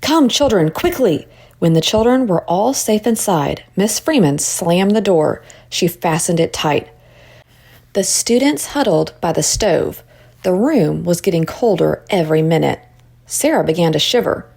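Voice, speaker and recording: A woman reading a children's story aloud as an expressive narrator. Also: fairly low pitch, a little slow, gravelly. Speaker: storybook reader.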